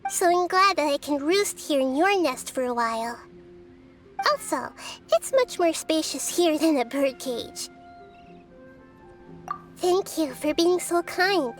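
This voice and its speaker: A woman voicing a gentle, curious and wise little girl spirit in an anime video game, soft-spoken and measured, with a whimsical, gently teasing way. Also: sits high, lively intonation. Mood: content, gentle. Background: background music.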